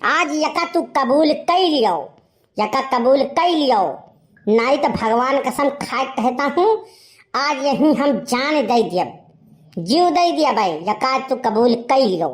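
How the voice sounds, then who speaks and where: A woman's voice: sits high, lively intonation, slow. A woman playing a desperate, lovesick young village man in a cartoon comedy, pleading melodramatically with an Awadhi accent. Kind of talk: animated comedy dialogue.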